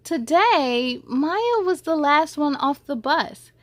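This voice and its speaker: A high-pitched woman reads a children's storybook aloud in a dry, close sound. She is expressive and drops in short asides as she goes. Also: takes it slowly, no echo.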